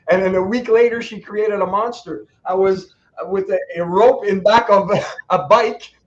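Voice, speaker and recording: A man in his sixties telling motivational stories as a guest speaker. He talks with energy, laughs as he goes and acts out the people he quotes. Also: sits high. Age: 60s. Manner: animated, laughing asides, acts out quoted voices. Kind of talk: motivational storytelling. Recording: dull lo-fi sound.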